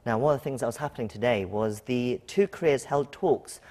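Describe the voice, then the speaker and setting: A man's voice: mid-range voice, fairly quick, darkish tone. A man working as a news anchor, putting interview questions to a guest in a TV studio.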